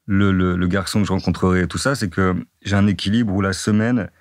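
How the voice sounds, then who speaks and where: A young man from northern France, chatting casually in an interview, relaxed and repetitive with many fillers. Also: deep voice, brisk, no room sound, clean studio sound. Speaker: dating-profile guest.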